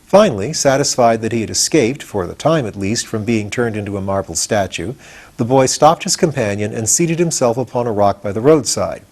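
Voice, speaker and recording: A man's voice: fairly low pitch, lively intonation, fairly quick, a little mellow. An older man narrating a children's storybook as an audiobook reader.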